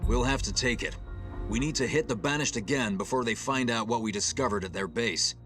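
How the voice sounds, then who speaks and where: An actor playing a composed, authoritative military starship captain in a video game, with measured, commanding delivery. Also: male voice, close to an everyday voice. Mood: grave, commanding. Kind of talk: video game cutscene acting. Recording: loud music and vehicle rumble behind.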